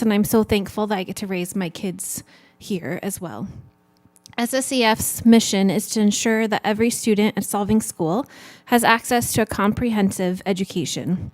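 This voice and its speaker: A woman from California gives an earnest, emphatic prepared speech asking a city council for funding. Her voice is mid-pitched and the sound is dry and close. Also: a little mellow, dry recording. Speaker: education foundation director. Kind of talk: prepared public statement.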